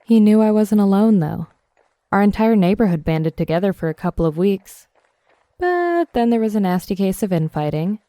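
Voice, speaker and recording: A young woman playing a tough, sardonic zombie killer in an audio drama, with a deadpan, teasing, brisk delivery. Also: mid-range voice, dry recording, lightly acted. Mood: matter-of-fact. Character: tough, sardonic twenty-five-year-old woman, cocky zombie killer. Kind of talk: audio drama acting, casual dialogue.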